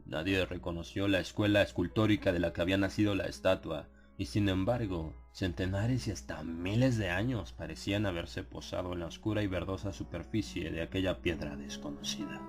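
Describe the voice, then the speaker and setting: A man narrating a dramatized horror audiobook in a measured, solemn literary delivery. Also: low voice. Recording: music behind the voice. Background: atmospheric music.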